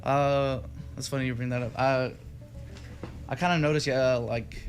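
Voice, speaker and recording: A man's voice: mid-range voice, steady tone, moderate pace. A young man from Texas, a music student guest, chatting casually in an interview with many fillers and restarts. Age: young adult. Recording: radio studio with faint music behind.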